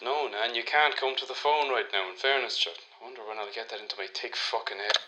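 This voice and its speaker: An actor playing an exasperated, self-scolding grandson, sighing and muttering in a radio play voicemail. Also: male voice, mid-range voice, fast, heavy background noise, close to an everyday voice. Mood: self-reproach.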